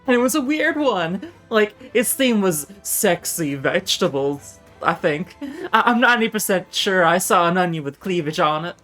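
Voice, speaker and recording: A woman voicing an excitable, earnest and rambling dim guy in a comedy dating game. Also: lowish voice, moderate pace, soft background music. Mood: eager. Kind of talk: voiced reading of comedy game dialogue.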